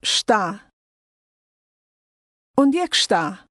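A woman's voice: mid-range voice, big pitch swings, drawn-out words, fairly bright tone, no echo. A woman modeling short phrases for a language course, carefully enunciated. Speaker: native speaker in a language course. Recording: dry studio recording.

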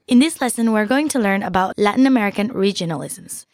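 A woman from Peru, a native Spanish speaker, co-hosting a scripted language lesson in a friendly, measured way, recorded close on a studio mic. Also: medium pitch, expressive, a little mellow, no echo.

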